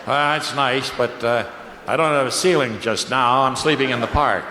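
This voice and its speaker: An actor playing a naive, self-important contestant, plain-spoken and earnest, in an old-time radio comedy. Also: male voice, mid-range voice, moderate pace, natural voice. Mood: earnest, boastful. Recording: echoey, noisy old radio recording.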